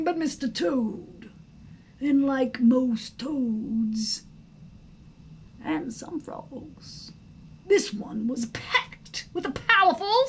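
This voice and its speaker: A woman reading a storybook aloud in an expressive, theatrical, emphatic narration. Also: high-pitched. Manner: theatrical, emphatic, deliberate phrasing. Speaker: storybook reader. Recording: faint background noise.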